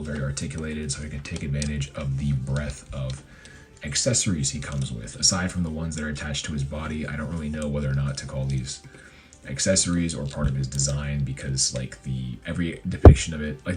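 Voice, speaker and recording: Man, very deep, hoarse, casual rambling toy review, lots of fillers, faint music underneath. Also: a little mellow. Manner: rambling, filler-heavy, self-correcting.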